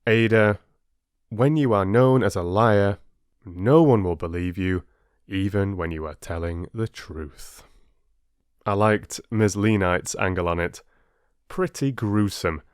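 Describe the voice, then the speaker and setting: A man working as an audiobook narrator, reading stories and addressing listeners with measured, deliberate phrasing. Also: lowish voice, clean tone, no echo, studio quality. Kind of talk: expressive storybook reading and listener chat.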